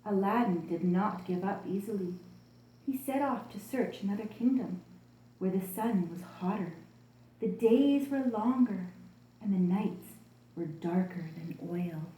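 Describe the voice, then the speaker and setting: A woman reading a story expressively and deliberately for children. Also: medium pitch, echoey room, a little hiss or hum, poor audio. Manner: expressive, deliberate storytelling addressed to young listeners.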